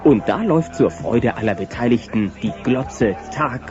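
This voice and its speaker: A man's voice: medium pitch, animated, normal speed. A man narrating a scripted audio play as a storyteller. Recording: dull cassette with faint music.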